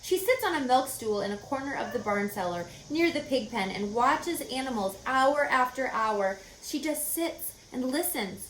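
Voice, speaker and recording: Woman playing an anxious, proper mother, animated. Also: sits high, moderate pace, few pauses, voice pushed up. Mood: worried. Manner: scolding, fretful, proper. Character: anxious, stern mother of a young girl. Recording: echoey room, faint background noise.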